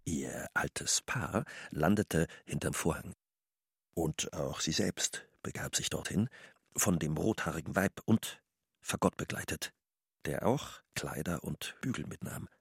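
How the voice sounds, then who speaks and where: A man's voice: extremely low pitch, unhurried, breathy edge, no echo, studio quality. A man narrating a radio drama from a script as a storyteller.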